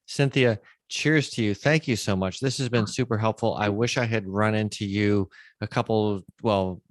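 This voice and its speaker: A man's voice: on the low side, normal speed, no room sound. A man hosting a podcast in a conversational style, recapping and putting points plainly. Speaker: podcast host.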